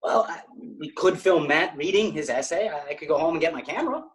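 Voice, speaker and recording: A man's voice: on the high side, expressive, brisk, lightly acted, poor audio. A man in his thirties playing an awkward, reluctant teenage boy in a table read over a video call. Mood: uneasy. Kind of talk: comedy script table read. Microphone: computer on video call.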